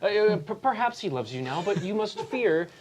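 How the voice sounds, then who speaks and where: A Canadian woman reads an earnest young brother cautioning his sister. The reading is casual and unrehearsed, with many filler words and restarts. Her voice sits at a middle pitch. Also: sing-song, moderate pace, a little mellow, slight background noise, close to an everyday voice. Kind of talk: casual table read of a classic play.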